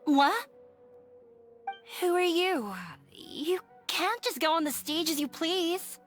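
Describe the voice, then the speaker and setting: A woman's voice: very high voice, slow pace, soft background music. An actress playing a nervous, shy young woman who hesitates and apologizes. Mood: startled. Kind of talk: game voice acting.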